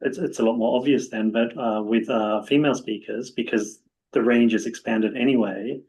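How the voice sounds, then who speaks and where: A man's voice: mid-range voice, steady tone, moderate pace, a little mellow, rough recording. A man speaking tentatively as a guest in an academic discussion on a video call, repeating words as he thinks aloud. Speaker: panel guest. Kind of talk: academic round-table discussion.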